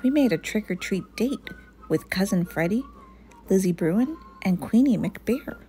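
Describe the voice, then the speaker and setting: A woman's voice: mid-range voice, expressive, unhurried, dark tone, faint music behind, close to an everyday voice. A woman voicing an eager, playful young boy bear cub in a children's storybook.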